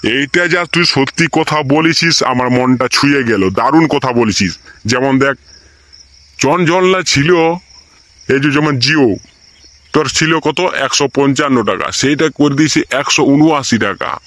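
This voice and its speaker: A man's voice: mid-range voice, a little slow, a bit bright, no room sound, lightly acted. A man voicing a sympathetic, grumbling schoolteacher in a comic cartoon, talking colloquially and firing rhetorical questions. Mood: sympathetic, aggrieved. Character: male schoolteacher, sympathetic, grumbling about poor people's hardship.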